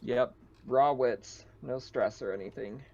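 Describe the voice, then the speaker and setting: A man's voice: mid-range voice, measured pace, darkish tone. Dull-sounding man running a tabletop game. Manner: casual, conversational, descriptive narration. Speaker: game master. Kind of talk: narrating and refereeing a tabletop roleplaying game.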